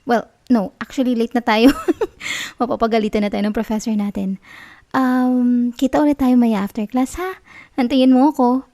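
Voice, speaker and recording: Woman acting as obsessive young girlfriend, Filipino accent, soft dark-toned voice, dry intimate sound, cooing reassurance, sweetly manipulative, ASMR roleplay. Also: a little high, steady tone, normal speed, no room sound, natural voice. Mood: bubbly, hurried. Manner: coaxing, cooing, giggly reassurance.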